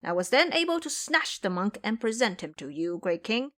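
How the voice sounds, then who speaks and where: A woman acts out a male tiger demon officer from a fantasy audio story. He is eager and servile, addressing his superior formally, with a lively, swinging pitch. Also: moderate pace. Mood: eager, boastful. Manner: deferential, formal report to a superior.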